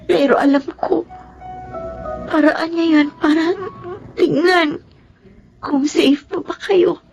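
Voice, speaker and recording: Polite sorry daughter, filipino radio drama, quite high voice, talks slow, faint music under it. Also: female voice, fairly even intonation, gravelly, a little mellow, full character voice, low-quality recording. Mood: earnest, apologetic. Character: apologetic, respectful grown daughter of a proud mother.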